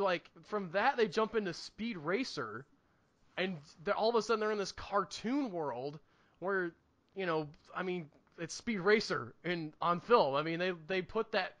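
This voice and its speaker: A man's voice: sits high, normal speed, a bit bright. A man co-hosting a podcast, chatting casually about movies in a rambling, earnest way as he thinks aloud. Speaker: podcast co-host. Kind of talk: casual movie review chat.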